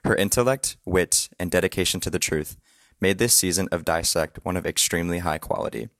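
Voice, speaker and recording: Man, deep gravelly voice, dry recording, thankful listener message. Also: fairly quick, fairly bright tone, no echo.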